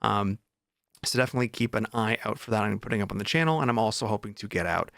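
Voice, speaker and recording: A man's voice: lowish voice, fast, gravelly, fairly bright tone, no room sound, clean studio sound. A man chatting casually as a vlog host, informal and enthusiastic about his writing. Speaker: vlog host and indie author.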